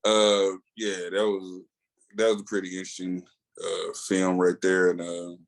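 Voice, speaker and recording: Middle aged guy w a low deep voice, laid back podcast chat, slangy and a bit halting. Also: completely flat delivery, creaky. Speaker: podcast co-host and reviewer. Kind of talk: casual chat.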